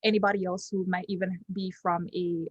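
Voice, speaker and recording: A woman's voice: lowish voice, little pitch movement, brisk, slightly rough, no echo, highs cut off. A young woman from Jamaica with a Jamaican accent, Patois her first language, giving an unscripted testimonial full of ums and restarts. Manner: hesitant, many ums and restarts.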